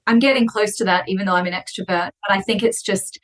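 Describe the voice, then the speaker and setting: A woman in her thirties, a podcast guest chatting in an animated, fast storytelling way. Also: medium pitch. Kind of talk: casual conversation.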